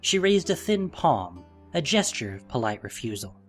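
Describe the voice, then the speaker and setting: A male narrator reads dramatic fantasy prose. His pitch sits in the middle but moves in broad, lively sweeps, with faint music underneath. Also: normal speed. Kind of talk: dramatic scripted story narration.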